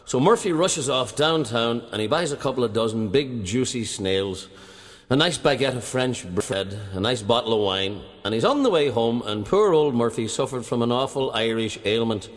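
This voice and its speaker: A man's voice: medium pitch, expressive, normal speed, long reverb tail. A man telling a long comic story and building steadily toward its punchlines. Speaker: joke teller.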